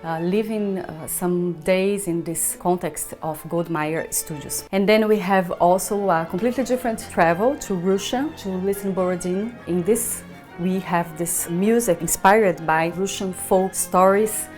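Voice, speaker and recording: Italian-Brazilian-accented woman conductor, low voice. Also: measured pace, a little mellow, soft background music. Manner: thoughtful, searching for words, hums rhythms. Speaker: orchestra conductor. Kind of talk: promotional interview talk.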